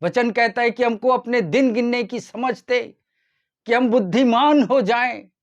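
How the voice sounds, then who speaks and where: A man preaching a short devotional sermon as a pastor, addressing his listeners in a deliberate manner. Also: very high-pitched, muffled recording. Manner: deliberate, repeatedly addressing listeners as dear ones.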